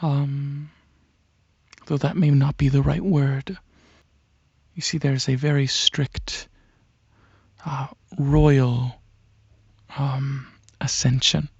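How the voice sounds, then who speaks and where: A courteous alien dragon prince, played by a man, talks softly in an ASMR roleplay, gently explaining with musing hesitations. The voice is husky and very gravelly, recorded very dry and close with a dulled top end. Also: mid-range voice, level tone, very slow, long pauses, no room sound, dull audio, exaggerated voice. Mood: soft, proud. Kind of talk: soft-spoken ASMR roleplay ramble.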